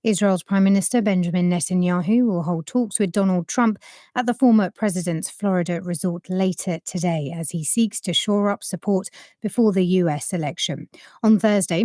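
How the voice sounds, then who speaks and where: A woman's voice: lowish voice, mellow, dry recording, studio quality. A woman reading scripted world news for broadcast.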